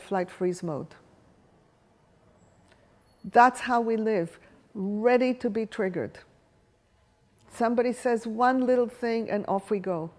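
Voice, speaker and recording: Woman, hebrew is her first language, talks slow and reflective, keeps asking the room questions. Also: medium pitch, darkish tone. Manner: reflective, thinking aloud with restarts, asks the room questions. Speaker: workshop facilitator. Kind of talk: live workshop talk with audience questions. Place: workshop room with a live audience.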